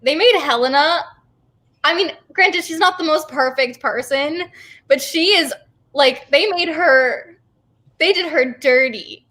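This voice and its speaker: Bright, high-pitched young woman. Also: unhurried. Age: young adult. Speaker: interviewee, theater company co-founder. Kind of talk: casual interview chat.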